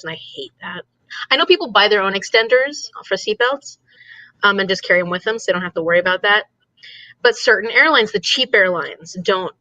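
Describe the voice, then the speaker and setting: A woman's voice: mid-range voice, sharp tone, no echo, highs cut off. A woman co-hosting an online reading livestream, chatting casually and repeating herself for emphasis. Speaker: co-host of online reading sprints.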